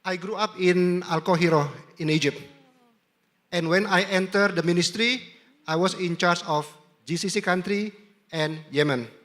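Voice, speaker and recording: High-voiced Indonesian man, diplomat. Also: moderate pace, a bit bright. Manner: repeats key phrases for emphasis. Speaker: diplomat speaking at a summit. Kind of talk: anecdotal talk with audience Q&A.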